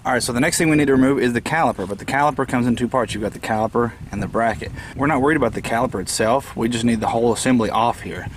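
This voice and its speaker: A man's voice: medium pitch, very fast, few pauses. Guy with a stuffy nose walking thru a car repair, easygoing, lots of uhs, little bit of background hiss. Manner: plainspoken, explains steps patiently with frequent "uh" fillers. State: slightly congested from a cold. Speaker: DIY car repair presenter. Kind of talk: casual how-to walkthrough.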